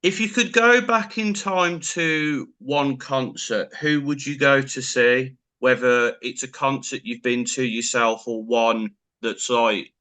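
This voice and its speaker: A man hosts a relaxed interview with a musician. His voice is bright and forward, in the middle of the male range. He affirms his guest and then asks open, friendly questions. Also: mid-range voice, slow pace, hardly any pauses. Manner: encouraging, affirming. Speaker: interviewer host. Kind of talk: casual interview.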